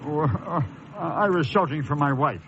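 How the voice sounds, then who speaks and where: An actor playing a henpecked, quietly murderous husband, polite and controlled, in a radio thriller. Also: male voice, a little high, moderate pace, gravelly, background noise. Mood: evasive, nervous. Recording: dull lo-fi radio recording, music behind.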